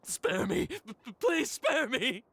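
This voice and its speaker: A woman's voice: high-pitched, huge pitch swings, slow, heavy background noise, full character voice. A woman playing a cowardly, panicky herb gatherer in a video game, stammering and frantic. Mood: terrified.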